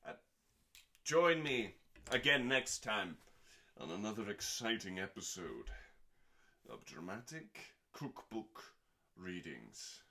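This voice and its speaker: Slow, theatrical man reading recipes aloud. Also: fairly low pitch, big pitch swings, bright, forceful tone. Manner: theatrical, measured, with pauses between short phrases. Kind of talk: dramatic, deliberate recipe reading with deadpan asides.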